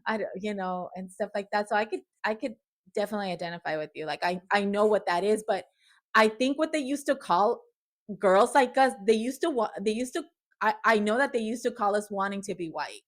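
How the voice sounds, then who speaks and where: A woman who grew up in California, hosting a casual podcast chat, talking warmly and switching into Spanish now and then. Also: mid-range voice, brisk. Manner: chatty, laughs often, switches into Spanish. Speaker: host.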